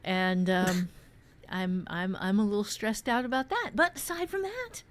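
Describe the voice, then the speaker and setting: A woman's voice: medium pitch, unhurried. A woman, an author and writing teacher, chatting casually as a podcast co-host. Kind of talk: casual conversational chat.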